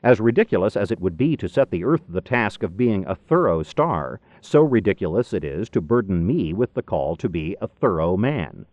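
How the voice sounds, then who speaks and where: A man reading philosophy as an audiobook narrator, with a measured, emphatic delivery and deliberate pauses, on a dull cassette recording. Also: low voice, very animated, darkish tone, dry recording. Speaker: audiobook reader.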